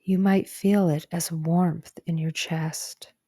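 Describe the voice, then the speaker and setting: A woman narrating a guided meditation in a gentle, calm and soothing way, with soft breathy phrase endings. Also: lowish voice, slow pace, dry recording, studio quality. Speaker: psychologist guiding a meditation.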